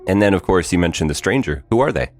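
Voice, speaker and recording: A man co-hosting a casual podcast chat, asking questions and adding quick joking asides. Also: low voice, lively intonation, darkish tone, soft background music. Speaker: podcast co-host. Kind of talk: casual behind-the-scenes chat.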